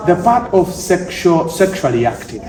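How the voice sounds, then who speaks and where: A man's voice: sits high, very animated, normal speed, crowd noise. A man with a Ugandan accent hosting a conversational devotional interview, often repeating and rephrasing his points as he talks. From Eastern Uganda. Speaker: devotional show host. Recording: echoey room.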